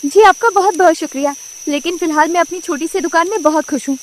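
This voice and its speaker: A woman voicing a confident young woman who sells samosas and speaks calmly about her work in a storybook cartoon. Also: very high-pitched, quick pace, a little hiss or hum, voice pushed up. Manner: calm, self-assured.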